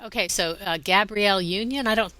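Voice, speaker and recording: Bright-voiced woman hosting an interview. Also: medium pitch, a little fast, clean tone, no room sound. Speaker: podcast host and interviewer. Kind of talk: conversational interview.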